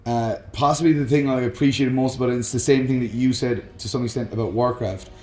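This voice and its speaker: A man's voice: mid-range voice, steady tone, fast talker, darkish tone, reverberant. A man co-hosting a casual, jokey banter show about movies, often chuckling as he talks. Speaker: co-host of a film discussion show.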